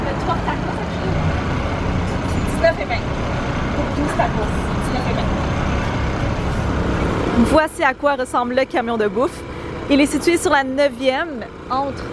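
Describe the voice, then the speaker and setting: A woman's voice: high-pitched, heavy background noise. A young woman with a Québécois French accent, chatting upbeat and directly to viewers in a casual travel vlog. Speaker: travel vlogger.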